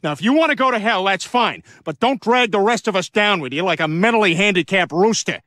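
A crude suburban cartoon father with a lively, swooping delivery. The voice is high for a man and dry in the recording, with an oafish, blunt, dim-witted character. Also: brisk, dry recording. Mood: scolding. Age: middle-aged. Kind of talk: animated comedy acting.

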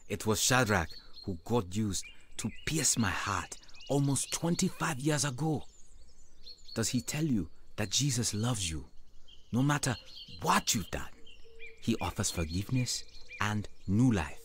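An actor playing an earnest middle-aged evangelist and devoted son in a radio drama, with measured delivery. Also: male voice, lowish voice, lively intonation, slight background noise. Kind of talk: radio drama narration and dialogue.

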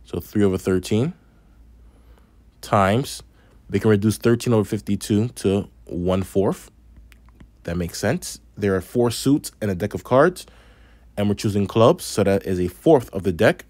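A man's voice: on the low side, measured pace, a little mellow, no echo. A man with a slight lisp teaching a math lesson over a tablet screen, explaining patiently step by step. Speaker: math instructor.

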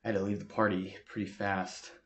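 An actor playing an anxious, deferential young war veteran with an American accent, speaking haltingly in a therapy interview drama. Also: male voice, fairly low pitch, flat delivery, close to an everyday voice. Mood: uneasy, halting. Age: young adult. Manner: halting, subdued. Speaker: actor as patient. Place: small furnished room, indoors.

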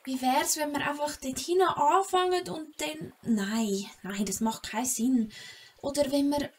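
A woman's voice: high-pitched, measured pace, a little hiss or hum. A woman with a Swiss German accent acting a bold, impulsive, cheeky young girl adventurer in a children's audio play. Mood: eager.

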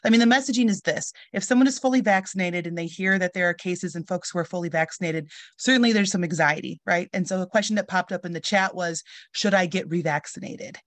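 A woman hosting a video call, asking brisk conversational questions. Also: lowish voice, no room sound. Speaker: host.

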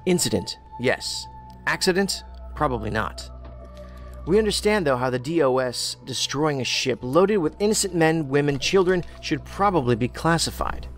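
A man's voice: a little high, lively intonation, moderate pace, soft background music, natural voice. A man playing a combative, sardonic federal investigator in a science fiction audio drama, with a dry, needling manner. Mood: sarcastic.

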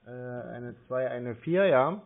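A man's voice: mid-range voice, slow, vocal fry. A man talking on the phone as a customer, hesitant and plodding, asking questions as he goes. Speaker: scam-baiter posing as a customer. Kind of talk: phone conversation. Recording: muffled narrow phone line.

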